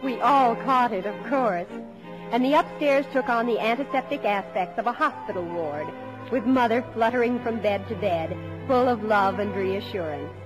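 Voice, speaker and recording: Music plays under a woman acting as a young daughter telling her family's story. Her voice sits on the high side, with a fond, wry tone and the polished storytelling of 1950s radio drama. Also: moderate pace, close to an everyday voice, poor audio.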